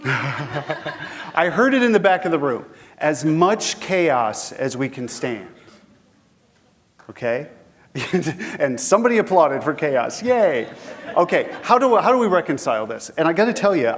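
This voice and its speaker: A man's voice: fairly high pitch, lively intonation, unhurried. A man with a head cold, sounding a little sniffly, giving a conversational conference lecture and putting questions to the audience. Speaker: guest lecturer.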